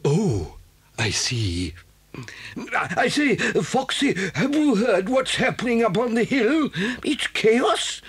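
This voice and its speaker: High-pitched, fretful British badger. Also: male voice, very animated, slow, a bit bright, slightly rough, exaggerated voice. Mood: distressed, despairing. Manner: worried, plaintive, blustering. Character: anxious, fussy, good-natured old-chap male badger. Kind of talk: children's audio story dramatisation.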